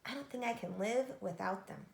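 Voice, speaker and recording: A woman voicing a plucky, eager young girl in a storybook, earnest and expressive. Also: a little high, moderate pace, gravelly. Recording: echoey room.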